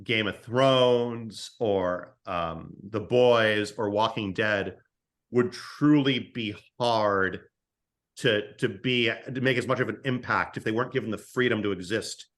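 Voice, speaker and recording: A man's voice: lowish voice, a little slow, a bit bright. A man speaking as a writer guest in a casual interview, hesitant and often repeating words as he forms his thoughts. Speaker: podcast guest, screenwriter and audio drama writer.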